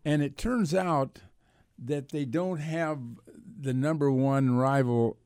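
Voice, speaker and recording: A man's voice: a little high, takes it slowly, darkish tone, smooth, no echo, clean studio sound. An older man with an American accent talking sports casually, in a rambling, reflective way. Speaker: sports columnist and radio co-host.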